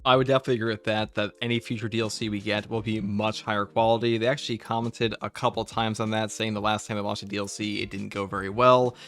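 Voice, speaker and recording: A man's voice: fairly low pitch, level tone, quick pace, few pauses, a bit bright. A man hosting a casual, conversational discussion about games. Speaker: host.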